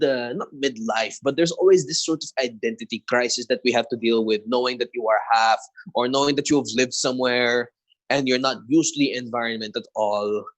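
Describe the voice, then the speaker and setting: Male host, mid-pitched, earnest, leads a live panel with long questions. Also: poor audio. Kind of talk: live online panel discussion.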